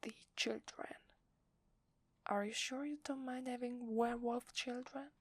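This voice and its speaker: A woman with an Italian accent playing a loving, playful werewolf wife in a soft, breathy ASMR roleplay, tender and intimate in her delivery. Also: fairly high pitch, fairly even intonation, measured pace, dry recording. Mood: guilty, hesitant. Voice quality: breathy, whispery.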